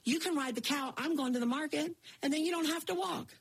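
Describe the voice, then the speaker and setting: A woman's voice: sits high, brisk, vocal fry, voice pushed up. A woman doing an eager young farm boy. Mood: earnest.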